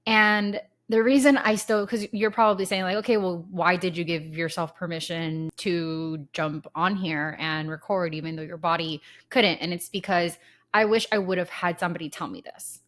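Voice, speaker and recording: A woman's voice: lowish voice, fairly even intonation, normal speed, a bit bright. A woman in her thirties hosting her own podcast, talking casually and candidly with rambling asides, sounding congested from a lingering cold. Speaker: podcast host. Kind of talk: casual solo podcast talk.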